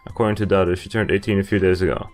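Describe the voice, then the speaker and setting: A man's voice: low voice, steady tone, rapid-fire, darkish tone, faint music behind. A man in his twenties hosting a playthrough, reading game narration aloud with casual asides. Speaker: gaming video host. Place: indoor room, webcam in corner.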